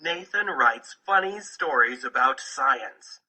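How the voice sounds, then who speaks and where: Male picture-book narrator, slow and deliberate, with lively pitch swings. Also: slightly rough, rough recording. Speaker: audiobook narrator.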